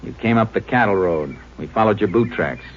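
An actor playing a stern Texas Ranger who questions people tersely, in the style of mid-century radio drama. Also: male voice, lowish voice, expressive, a little fast. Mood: probing, stern. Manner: terse, interrogating. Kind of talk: 1940s-50s radio drama acting. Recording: muffled, noisy old-time radio recording.

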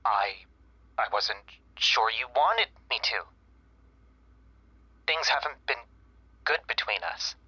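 A young man playing a hesitant, guarded grown son, speaking haltingly in a husky, breathy voice through a telephone in an audio drama. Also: medium pitch, lively intonation, sharp tone, no room sound, lo-fi sound, exaggerated voice. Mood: tentative, guarded. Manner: halting, stammering, tentative. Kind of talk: naturalistic audio drama acting.

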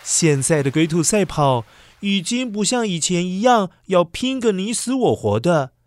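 A man narrates a children's story in an expressive, dramatic way, with exclamations and sound words. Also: high-pitched, measured pace, no echo, studio quality. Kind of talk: animated scripted children's storytelling. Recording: music behind the voice. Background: music.

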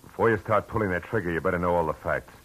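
An actor playing a tough, world-weary private detective in a 1940s radio drama, talking in clipped, hard lines. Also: male voice, low-pitched, fast talker, a bit bright, rough recording. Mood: forceful. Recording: old radio broadcast with faint hiss.

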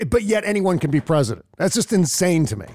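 A man's voice: sits high, very animated, quick pace, darkish tone, no room sound. Dry-sounding male host. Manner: conversational, musing, easy laughs. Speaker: talk show host. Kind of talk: casual political talk.

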